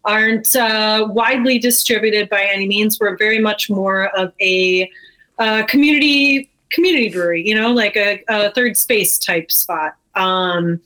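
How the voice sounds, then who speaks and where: A woman's voice: medium pitch, normal speed, sharp tone, poor audio. A woman, a small business owner, chatting casually as a guest in an interview. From Chicago area, USA. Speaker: brewery co-owner, podcast guest.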